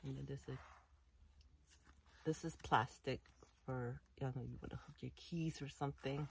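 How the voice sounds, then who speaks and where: A woman's voice: very deep voice, fairly even intonation, moderate pace, gravelly, a little mellow, a little hiss or hum, low-quality recording. Chatty woman rambling with fillers. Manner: chatty, rambling, many fillers like "you know". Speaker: vlogger reviewing a backpack. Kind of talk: casual unboxing show-and-tell. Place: indoor room full of collectibles.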